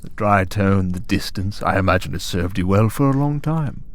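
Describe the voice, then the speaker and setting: A man playing a smug, tempting servant of a dark master in an ASMR audio drama, speaking in a deliberate, taunting, persuasive way. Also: deep voice, lively intonation. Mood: coolly needling. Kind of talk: ASMR audio roleplay acting.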